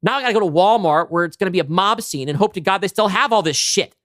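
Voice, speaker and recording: Guy doing a fed up forties wife, voice way up high, sarcastic nagging, script read, dry close sound. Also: animated, quick pace, dry recording. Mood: scolding, sarcastic. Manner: sharp, nagging, sarcastic rhetorical questions. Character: exasperated forties housewife, sarcastic and scolding. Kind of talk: amateur script table read.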